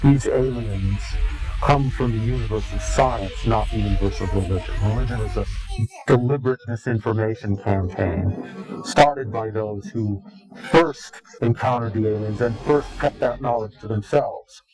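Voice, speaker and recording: A man giving interview testimony in a grave, deliberate, halting and portentous way. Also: fairly low pitch, rough recording. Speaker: interviewee, claimed insider. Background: music.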